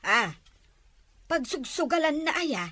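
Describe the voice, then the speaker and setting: A woman's voice: high-pitched, lively intonation, slow, pushed and bright, dry recording, heavily acted. A woman with an Ilocano accent playing a curious, tut-tutting neighbor in a comic drama. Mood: gossipy.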